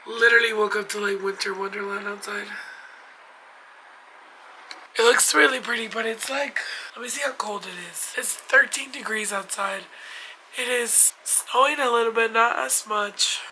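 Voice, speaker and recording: Young woman with a stuffed-up, hoarse cold voice, bright tone, chatty and rambly, light background hiss. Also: a little high, normal speed, rough recording. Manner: chatty, rambling with many likes and restarts. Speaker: book vlogger. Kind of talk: casual chat. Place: at home in bed.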